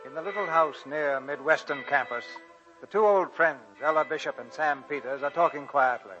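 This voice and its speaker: A male host narrates in formal 1940s radio style. His voice is slightly high and forceful, on a dull vintage recording with music underneath. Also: normal speed.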